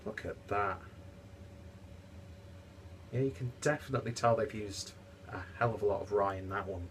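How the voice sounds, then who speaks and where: A man's voice: fairly low pitch, moderate pace, slight background noise. A young man with a stuffy, congested voice, casually reviewing a beer at home with rambling asides and lots of fillers. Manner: rambling, chatty, many fillers. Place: home kitchen, indoors.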